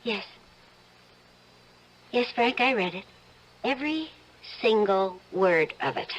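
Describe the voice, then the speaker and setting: An actress playing the anxious, suspicious middle-aged wife of a judge, halting and emotional in old-time radio drama style. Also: female voice, mid-range voice, expressive. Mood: tense, subdued.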